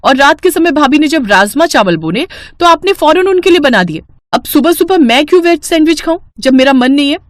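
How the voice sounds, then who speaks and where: A woman's voice: high-pitched, expressive, fairly quick, few pauses, no room sound, pitched above the performer's own voice. A woman voicing a sulky, jealous college student in a cartoon family story, whining and defiant. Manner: whiny, defiant complaining. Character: sulky, jealous college-girl sister-in-law.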